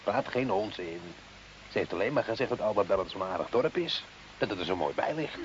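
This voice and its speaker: An actor playing an irritable, mocking husband in a radio drama, gruff and dismissive in manner. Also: male voice, lowish voice, big pitch swings, fairly quick. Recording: dull, faintly hissy old radio recording.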